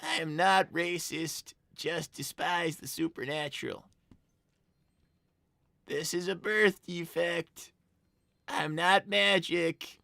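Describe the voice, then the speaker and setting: A woman's voice: fairly low pitch, fairly even intonation, slow, vocal fry, pauses often, pushed and bright, no room sound, heavily acted. A woman voicing an exasperated, awkwardly kind teenage boy fox, hesitant and dry in a live cartoon roleplay. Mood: defensive.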